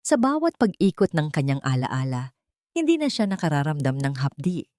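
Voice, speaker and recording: A woman reads a scripted dramatic story as an audiobook narrator, in an earnest, sentimental and measured way. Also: low voice, sing-song, a little mellow, no echo, clean studio sound.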